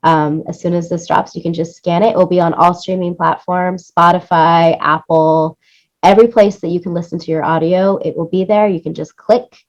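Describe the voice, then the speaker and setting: Woman, lower-pitched, enthusiastic interviewee, effusive, repeats herself for emphasis, dull recording. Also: steady tone, moderate pace. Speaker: interview guest, music supervisor and producer.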